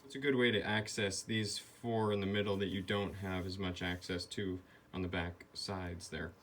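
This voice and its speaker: A man's voice: low voice, fairly even intonation, moderate pace, fairly bright tone. A man giving a hands-on repair tutorial, explaining things step by step. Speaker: repair video host. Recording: clearly noisy background.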